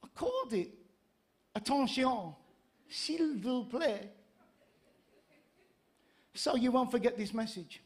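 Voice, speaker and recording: A male pastor in his fifties with a north-east English accent speaks in a high-pitched voice. He preaches conversationally from a church stage, moving between humorous storytelling and repeated, emphatic points. He speaks into a handheld microphone. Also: animated, slow, long pauses. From Gateshead, north-east England. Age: 50s. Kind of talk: sermon with humorous anecdotes.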